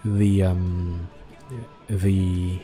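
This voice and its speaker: A man doing casual, low-key livestream commentary on a game, speaking hesitantly. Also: level tone, drawn-out words, dark tone. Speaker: game streamer. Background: game music.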